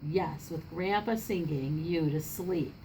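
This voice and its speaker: A woman's voice: deep voice, slow, creaky, mellow, slight background noise, exaggerated voice, poor audio. Woman reading as a teasing, scornful sister. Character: teasing, scornful young girl taunting her brother.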